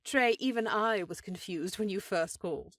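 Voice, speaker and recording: A powerful elven sorceress acted by a woman in a fantasy audio drama, speaking as a kind, encouraging sister. Her delivery is earnest and affectionate, at an average pitch for a woman, recorded dry and close. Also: moderate pace, fairly bright tone, no room sound, natural voice. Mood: fond, teasing.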